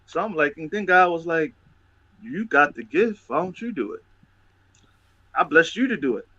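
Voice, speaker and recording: A man's voice: fairly high pitch, sing-song, normal speed, sharp tone, husky, highs cut off. A man talking casually and motivationally as a show host, emphatic and testifying, repeating phrases for stress.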